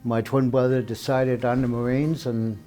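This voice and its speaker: A man in his seventies, born in Denmark, telling his life story in an oral history interview, unhurried and conversational with frequent fillers. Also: medium pitch, level tone. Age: 70s. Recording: soft guitar music underneath.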